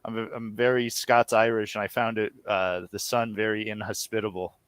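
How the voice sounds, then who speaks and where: Low-voiced man chatting, dry close sound. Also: little pitch movement, normal speed, fairly bright tone, clear, resonant tone, dry recording. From United States, Connecticut. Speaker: podcast guest, audio comedy co-creator. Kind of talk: casual chat.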